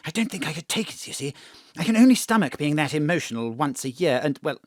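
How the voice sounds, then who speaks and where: A woman playing a pompous, repressed gentleman spy chief, plummy and old-fashioned, in a comic audio drama. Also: low voice, very animated, fast talker, dry recording, clean studio sound. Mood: apologetic.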